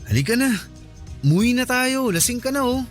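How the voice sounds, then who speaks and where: A high-pitched lovesick man in a Filipino radio drama. He is insistent, pleading and exasperated as he coaxes. Quiet music plays underneath. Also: sing-song, moderate pace. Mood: coaxing, insistent. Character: insistent, lovesick male friend pursuing married woman.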